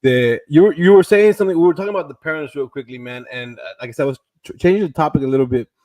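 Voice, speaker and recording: A man's voice: on the high side, racing, warm tone, dry recording. A man with a Rio Grande Valley accent hosting a podcast, loose and joking, swearing casually and slipping in Spanish words. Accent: Texas Rio Grande Valley. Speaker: podcast host, realtor.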